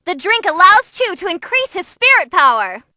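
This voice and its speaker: A woman voicing an excitable young female demon who commentates on tournament fights in a bubbly, theatrical way for a game. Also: extremely high pitch, normal speed, no room sound, studio quality, heavily acted. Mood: brisk, emphatic.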